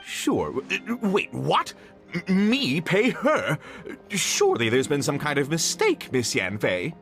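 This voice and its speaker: A man's voice: fairly high pitch, wildly swooping pitch, takes it slowly, a bit bright, heavily acted. Slippery male merchant, wildly swinging intonation, theatrical, argumentative, self-assured, game dialogue over music. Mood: shocked. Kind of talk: animated game voice acting.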